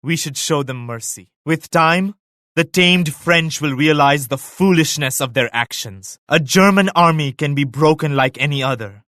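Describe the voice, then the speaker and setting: An actor playing a devout, solemn emperor and military leader in a strategy game, with measured, grave, pious declamation. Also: male voice, fairly high pitch, bright, forceful tone, no echo, clean studio sound. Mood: grave, regretful. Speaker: video game character voice actor. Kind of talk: scripted strategy game voice lines.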